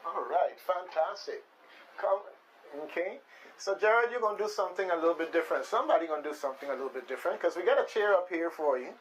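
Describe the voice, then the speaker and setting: Male host, Caribbean accent, voice a touch high, halting and playful live radio chat over light background noise. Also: expressive, rough recording. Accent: Caribbean, Virgin Islands. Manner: playful, repeats his phrases, halting. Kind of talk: casual live radio talk. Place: radio studio with shared microphones.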